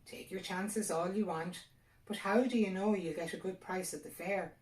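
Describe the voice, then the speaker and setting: A woman's voice: lowish voice, fairly even intonation, normal speed, darkish tone, recorded from a distance, a little hiss or hum, natural voice. Storybook old fairy man, played by a woman: tiny, sly, menacing, needling, recorded in an echoey room. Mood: threatening. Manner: pushy, needling bargaining.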